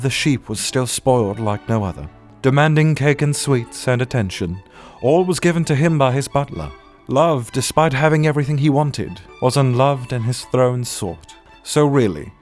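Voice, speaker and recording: A man's voice: mid-range voice, a little mellow, natural voice. A man voicing a haughty, sardonic butler who condescendingly roasts submissions in a theatrical, pompous way. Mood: dramatic storytelling. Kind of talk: theatrical comic roast reading.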